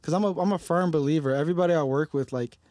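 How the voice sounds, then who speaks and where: A young man from Detroit talks in a loose podcast chat with a voice pitched a little above most men's. He gets heated and swears for emphasis. The sound is close and dry, with no room around it. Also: racing, darkish tone, no room sound. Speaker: rapper guest. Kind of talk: casual podcast conversation.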